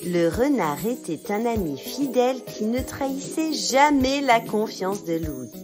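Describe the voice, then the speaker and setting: A woman narrating a children's tale in an expressive, scripted storytelling style, phrasing clearly for young listeners. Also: on the high side.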